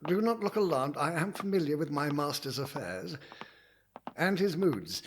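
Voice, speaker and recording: A man playing a discreet, formal manservant in a period audio drama, courteous and quietly cautioning. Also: on the high side, lively intonation, moderate pace, slight background noise, close to an everyday voice.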